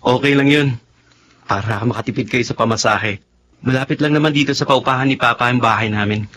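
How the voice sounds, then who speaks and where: An actor playing a kind but evasive young restaurant owner in a Tagalog radio drama, speaking in a halting, hesitant way. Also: male voice, mid-range voice, a bit bright, slight background noise, close to an everyday voice. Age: young adult.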